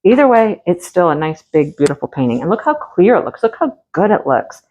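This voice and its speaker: A woman's voice: fairly low pitch, big pitch swings, fairly quick, darkish tone, low-quality recording. A woman hosting her crafting channel, chatting casually through an unboxing, a little stuffy with a cold and a slight cough. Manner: chatty, homey, talks to viewers directly.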